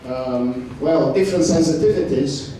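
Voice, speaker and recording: A man speaking on a discussion panel, halting, with many fillers and restarts. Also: a little high, warm tone. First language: Romanian. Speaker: panel participant. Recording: echoey hall, distant mic, faint noise.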